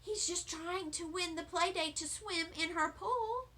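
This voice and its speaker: An indignant little schoolgirl from a storybook, voiced by a woman, competitive and scolding. She speaks high and bright with firm, emphatic stress. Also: measured pace, heavily acted. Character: indignant, competitive first-grade girl May. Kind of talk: children's storybook read-aloud.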